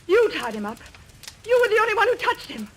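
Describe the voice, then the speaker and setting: Agitated young lady in old radio mystery, high voice, really animated, accusing, bit of hiss. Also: quick pace. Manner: insistent, accusatory, high-strung. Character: sharp, agitated young woman accusing a suspect. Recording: noisy old radio recording.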